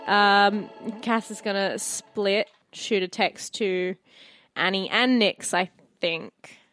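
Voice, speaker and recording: A woman's voice: medium pitch, takes it slowly, fairly bright tone, smooth, dry recording, faint music behind. A woman playing a tabletop roleplay game, improvising casually in character.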